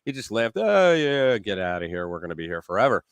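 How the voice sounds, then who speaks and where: A man's voice: on the low side, very animated, fairly quick, clear, resonant tone, dry recording. Rambling male political talk host. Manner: rambling, repeats words while building a point. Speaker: host of a political call-in show. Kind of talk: casual political chat.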